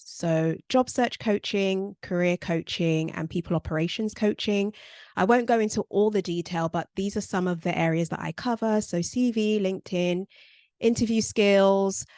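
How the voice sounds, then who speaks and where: Woman, forties, lowish voice, dry close sound, chatty workshop presenter. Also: a little mellow, dry recording. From born in Nigeria, raised in South London. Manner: conversational, frequent ums, quick asides. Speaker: career coach and workshop presenter. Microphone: video call.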